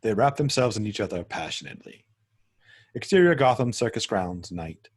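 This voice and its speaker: A man narrating screen directions at a script table read in a measured, descriptive way. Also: fairly low pitch, animated, slightly rough.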